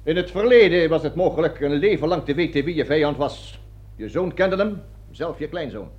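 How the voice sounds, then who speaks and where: Male pompous army colonel, high-pitched and commanding, lecturing troops; satirical radio play, dull vintage sound. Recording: dull lo-fi old radio recording.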